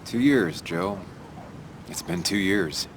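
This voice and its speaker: A man's voice: sits low, animated, slow, background noise. An actor playing a guarded, dry-witted American journalist in his thirties, understated, in naturalistic video game style. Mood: guarded, friendly.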